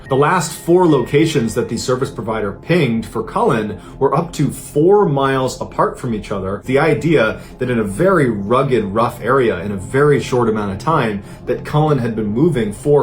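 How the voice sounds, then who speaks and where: A man with an American accent telling a true-crime mystery in an earnest, emphatic, suspenseful storytelling style. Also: mid-range voice. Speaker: storyteller host of a mystery series. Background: background music under the voice.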